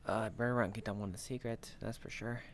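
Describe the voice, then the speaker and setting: A man's voice: lowish voice, steady tone, fairly quick, a little hiss or hum. A man talking casually in character in an online roleplay game. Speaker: roleplay player.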